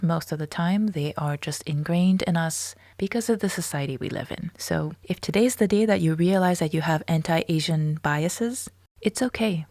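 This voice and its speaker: Low-voiced woman hosting a podcast, dry sound. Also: a little mellow, no echo. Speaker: podcast host. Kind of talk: reflective podcast commentary addressed to listeners.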